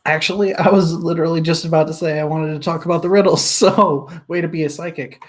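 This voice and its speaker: A man's voice: a little high, fairly even intonation, quick pace. A man in a casual book discussion, talking in an informal, rambling way as a co-host. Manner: rambling, informal, laughs often while talking.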